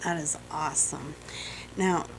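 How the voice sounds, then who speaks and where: A middle-aged woman with a Southern US accent hosts a radio interview from the studio. She talks in a fairly low voice, gushing and encouraging as she chats with her guest. Her tone stays upbeat and easygoing. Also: fairly even intonation, a little slow, slight background noise, low-quality recording. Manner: gushing, encouraging, chatty with laughs. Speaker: radio host.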